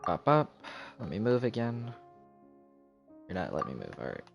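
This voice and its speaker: Male game streamer, fairly low register, offhand self-directed muttering, music playing clearly behind him. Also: wildly swooping pitch, moderate pace, lo-fi sound. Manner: muttering to himself, counting aloud. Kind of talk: casual live gameplay commentary.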